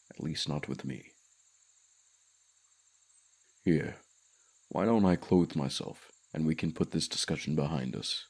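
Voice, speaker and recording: A man's voice: low voice, a little mellow. A man voicing a haughty, commanding dragon in a fantasy ASMR roleplay, speaking formally with pompous condescension. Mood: haughty, dismissive. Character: haughty male dragon, commanding keeper of a human pet.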